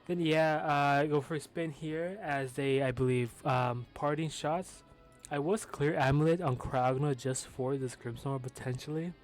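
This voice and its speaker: Casting note: young guy with a noticeable lisp, relaxed and offhand, faint music behind. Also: fairly high pitch, moderate pace. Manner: casual, with fillers and swearing. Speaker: competitive game commentator. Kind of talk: casual match commentary.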